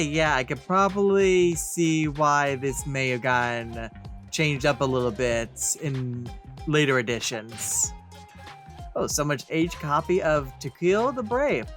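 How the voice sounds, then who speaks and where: A male let's play host with a slightly high voice reads game text aloud in a theatrical way. He drops in casual commentary, with faint music behind him. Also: a bit bright.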